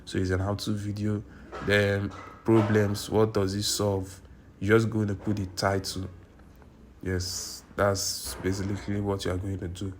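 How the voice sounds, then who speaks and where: A man's voice: on the low side, little pitch movement, a little slow, slight background noise. A man from Nigeria, walking through a screen-recorded tutorial at his computer, repeating himself and correcting his own words as he goes. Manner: repetitive, insistent, self-correcting. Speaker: YouTube tutorial creator. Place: indoors at a computer.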